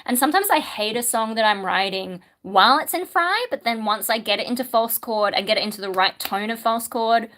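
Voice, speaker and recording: A woman's voice: a little high, brisk, few pauses, sharp tone. A woman, a singer, chatting casually on a livestream, reading viewer questions aloud and musing with frequent ums. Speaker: singer and streamer answering chat.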